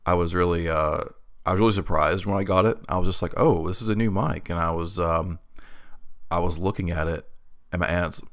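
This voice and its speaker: A young man with a very low voice and plenty of vocal fry, talking loosely into his mic at home. He's getting over a head cold and rambles with fillers and restarts. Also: fairly bright tone. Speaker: podcast host. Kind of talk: casual solo podcast update. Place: his room in a new apartment. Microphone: new home studio mic.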